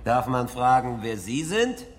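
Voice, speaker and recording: An actor playing a defiant military lieutenant in a radio horror drama, curt, blunt and stubborn. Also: male voice, medium pitch, big pitch swings, a little slow, background noise. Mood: wary, challenging.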